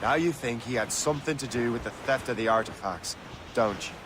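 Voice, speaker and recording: A bold young assassin recruit voiced by a man in a seafaring video game. His voice is medium in pitch and his manner direct and questioning, with clear sea noise behind him. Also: a little fast, lightly acted. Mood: curious. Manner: direct, inquisitive. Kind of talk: video game cutscene acting.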